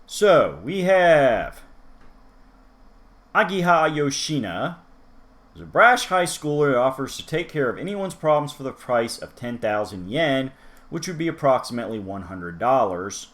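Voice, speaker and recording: A man's voice: mid-range voice, lively intonation, normal speed, a bit bright. A man hosting a casual manga review, talking chattily with asides to the viewer. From United States. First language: English. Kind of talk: casual solo review talk.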